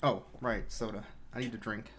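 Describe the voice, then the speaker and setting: A man commentating his own video game speedrun in a relaxed, explanatory way, with sudden excited outbursts. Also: fairly low pitch, normal speed, a little hiss or hum. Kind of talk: casual live-stream commentary. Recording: game music playing behind.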